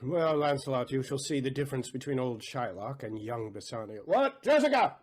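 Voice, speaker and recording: A man's voice: mid-range voice, big pitch swings, moderate pace. A man playing a bitter, suspicious old miserly father, a moneylender, in a Shakespearean audio play. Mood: irritated.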